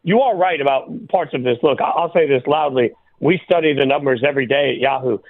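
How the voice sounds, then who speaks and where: A man's voice: mid-range voice, brisk, dry recording. A man speaking as a sports journalist guest, arguing his case with rhetorical questions over a thin phone-like radio line. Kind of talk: sports debate by call-in.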